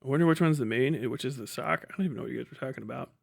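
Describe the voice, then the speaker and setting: A man's voice: medium pitch, racing, gravelly. A man hosting a casual livestream, rambling bluntly with lots of profanity and muttered asides to himself and chat. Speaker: livestream host.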